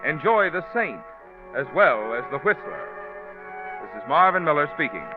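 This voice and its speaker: A man's voice: a little high, sing-song, normal speed. A man working as a radio announcer, reading sponsor messages and credits in a polished, formal 1940s style. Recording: tinny band-limited old radio broadcast with music. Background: music.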